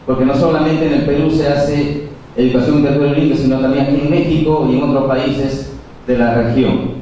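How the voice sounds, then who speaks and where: A man's voice: medium pitch, fairly even intonation, warm tone, a little hiss or hum. Man speaking in an echoey hall. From Peru. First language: Quechua. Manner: measured, many pauses and fillers. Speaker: conference speaker. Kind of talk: formal conference talk. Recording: echoey hall, far from mic.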